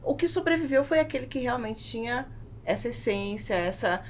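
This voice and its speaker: A woman's voice: a little high, expressive, moderate pace. A Brazilian woman speaking casually as a podcast guest about business, often repeating words as she forms her thoughts. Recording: clear background noise, room ambience.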